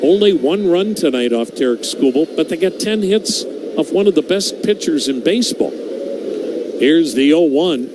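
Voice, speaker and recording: Male baseball radio announcer, higher-pitched, live game commentary over clear background noise. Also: lively intonation, measured pace.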